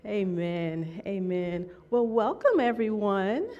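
A woman preacher giving a conversational sermon in an earnest, measured way, often checking in with her listeners. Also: medium pitch, animated, darkish tone, highs cut off. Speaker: preacher teaching a church series.

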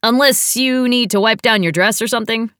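A woman's voice: on the high side, brisk, fairly bright tone, clear, resonant tone, no room sound, clean studio sound, pitched above the performer's own voice, natural voice. An American woman voicing an exuberant, playful and friendly man in an audiobook, with an effusive, cheerful manner. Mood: playful, happy.

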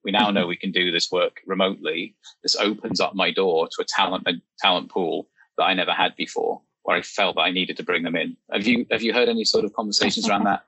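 A man hosts a podcast in an easy, conversational way, thinking aloud and then handing the floor to his guest. His voice sits very low and has a raspy, breathy grain. It still carries a bright, forceful edge. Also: fairly even intonation, fast, no room sound, rough recording. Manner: thoughtful, asks open questions. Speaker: podcast host. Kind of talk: casual conversational discussion.

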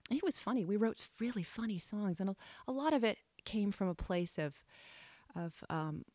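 A woman's voice: mid-range voice, lively intonation, normal speed, dark tone, no room sound. A middle-aged woman telling her life story in an interview, reflective and frank, often trailing off and restarting her sentences. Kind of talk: candid personal storytelling.

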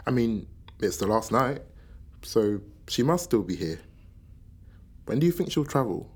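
A performer with a British accent playing a grieving, searching young British-Jamaican in a radio play, husky and hesitant. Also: medium pitch, sing-song, unhurried. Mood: wistful, wondering. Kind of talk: naturalistic radio play acting.

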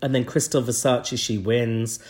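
A man's voice: medium pitch, steady tone, background noise. A man with a cold, co-hosting a podcast and giving chatty, opinionated recaps of a TV show. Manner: chatty, opinionated, quick asides. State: bunged up with a cold. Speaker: podcast co-host. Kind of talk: casual TV recap chat.

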